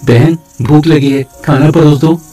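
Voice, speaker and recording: A man playing a gentle, patient, affectionate young brother in a folk tale, soft-spoken. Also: a little high, steady tone, unhurried, slightly rough, faint music behind. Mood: gently chiding.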